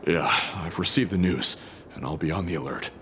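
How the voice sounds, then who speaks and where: An actor playing a hesitant soldier on guard duty who stammers when surprised, in a game cutscene. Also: male voice, low voice, brisk, fairly bright tone, a little hiss or hum. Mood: earnest. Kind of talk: video game cutscene voice acting.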